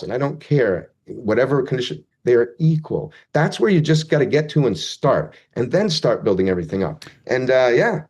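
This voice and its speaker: A man's voice: mid-range voice, a little mellow. A man speaking as an interview guest, rambling and heartfelt, sometimes poking fun at himself. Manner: rambling, heartfelt, self-deprecating. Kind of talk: casual interview chat.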